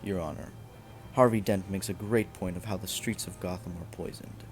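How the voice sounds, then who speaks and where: A man acting a calm, suave male vigilante in an amateur radio play. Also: low-pitched, normal speed, faint music behind, lightly acted. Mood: earnest, measured.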